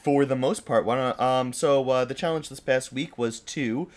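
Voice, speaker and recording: A young man from the United States co-hosting a podcast in casual chat, hoarse from a cold, chatty and often restarting his sentences. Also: medium pitch, moderate pace. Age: young adult. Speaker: podcast co-host.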